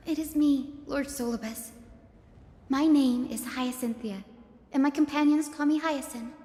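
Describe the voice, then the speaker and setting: A woman's voice: high-pitched, measured pace, long pauses, slight background noise. An actress playing an earnest, resolute young woman healer in a video game. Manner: earnest, steady. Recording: roomy reverb.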